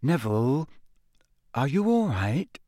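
A man's voice: mid-range voice, big pitch swings, slow pace, mellow, dry recording, studio quality. A man voicing a clever, serious teenage girl who speaks tensely and curtly. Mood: concerned. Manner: tense, curt.

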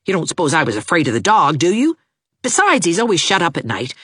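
Woman reading as anxious young man, pleading, earnest, lively pitch, dry close sound. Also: quick pace, slightly rough, no echo, full character voice. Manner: pleading, contemptuous of the dog.

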